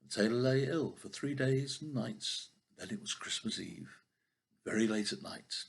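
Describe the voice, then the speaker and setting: A man's voice: medium pitch, normal speed, vocal fry, a little hiss or hum. An older man, a grandfather, reading a children's story aloud expressively, now and then stumbling over a word.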